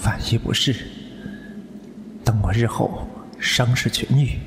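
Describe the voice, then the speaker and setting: A man's voice: medium pitch, takes it slowly, background noise. A man playing a cold, calculating young swordsman in a Chinese wuxia radio drama, terse and matter-of-fact. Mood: cold, composed. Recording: echoey, loud music underneath.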